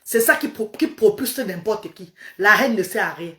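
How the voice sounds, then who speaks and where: A woman's voice: medium pitch, animated, normal speed, a bit bright. A woman with a Cameroonian French accent, a native Medumba speaker, giving an emphatic, repetitive testimony on a phone live stream.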